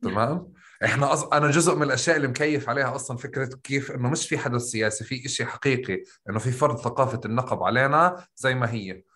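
A man's voice: mid-range voice, racing, fairly bright tone. A man with a rural Palestinian accent from Tulkarm, interviewing a guest in a thoughtful way, often searching for his words. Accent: Palestinian, Tulkarm rural with qaf. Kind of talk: conversational interview.

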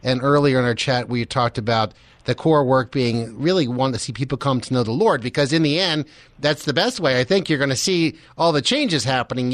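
Medium-pitched man hosting, very dry sound. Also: no echo. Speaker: radio host, interviewer. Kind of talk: conversational radio interviewing.

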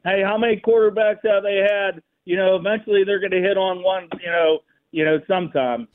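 A man's voice: high voice, steady tone, a little fast. An American man chatting casually about sports as a radio caller on a phone line. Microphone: telephone. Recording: narrow tinny phone line.

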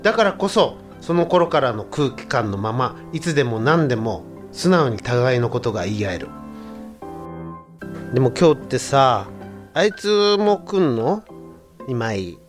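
A man's voice: medium pitch, sing-song, unhurried. An actor playing a sensitive young man in a Japanese radio drama, with casual boyish speech, over faint music. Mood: curious, uneasy. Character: sensitive young man among old friends, narrating his worries. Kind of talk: Japanese radio drama acting with inner monologue.

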